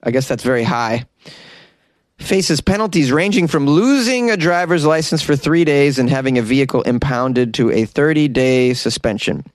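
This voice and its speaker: A man's voice: mid-range voice, animated, normal speed, no room sound. A man who grew up in Boston, hosting as a comedian and joking through odd news stories with wry asides and laughs. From Boston, United States. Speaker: comedian and host.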